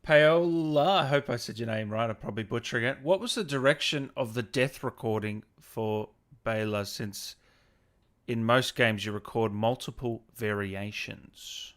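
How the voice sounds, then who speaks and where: A man's voice: medium pitch, normal speed, a bit bright. A man hosting a casual video-call interview and reading out viewer questions in a laid-back, informal way. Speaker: interview host, gaming YouTuber.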